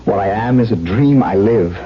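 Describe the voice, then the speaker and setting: A man's voice: on the low side, expressive, normal speed, husky. A man playing a brooding, haunted widower in a radio drama, speaking with intense, literary melancholy. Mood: earnest, anguished. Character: brooding, haunted widower, tormented by lost love. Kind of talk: dramatic first-person radio narration and dialogue. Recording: dull 1970s radio recording, music behind.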